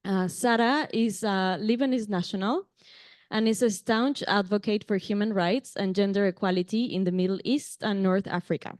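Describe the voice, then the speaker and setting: Mexican woman moderating a panel, smooth clear voice, lots of ums, dry close sound. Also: medium pitch, moderate pace, no room sound. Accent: Mexican Spanish. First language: Spanish. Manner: warm, light laughs, frequent ums and restarts. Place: conference venue.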